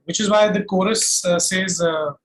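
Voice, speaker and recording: Very high-pitched Indian man, reflective. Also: steady tone, moderate pace, rough recording. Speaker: guest, singer-songwriter. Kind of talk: reflective interview conversation. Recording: faint background noise.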